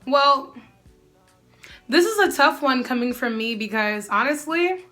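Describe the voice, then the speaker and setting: A sassy young woman chatting straight to camera with a bright, ringing tone. She sits a little high in her range and keeps the banter lively as she answers viewer questions. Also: measured pace, faint music behind. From United States. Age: young adult. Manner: sassy, animated, often calls the viewer "girl". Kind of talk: casual Q&A vlog.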